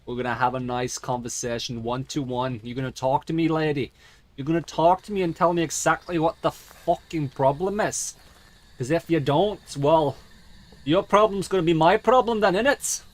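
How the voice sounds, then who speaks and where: Higher-pitched man in a mock dwarf accent. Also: normal speed. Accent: put-on mock accent he calls a dwarf accent. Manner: sweary, rambling, theatrical. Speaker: game streamer. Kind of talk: video game commentary in a put-on character accent.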